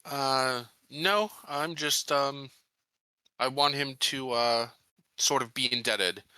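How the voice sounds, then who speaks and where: Guy playing a tabletop rpg, really bright forceful voice, picks his words slowly, dry close recording. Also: mid-range voice, clean tone, dry recording. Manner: hesitant, deliberate, frequent pauses. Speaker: tabletop game player. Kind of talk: casual in-character negotiation.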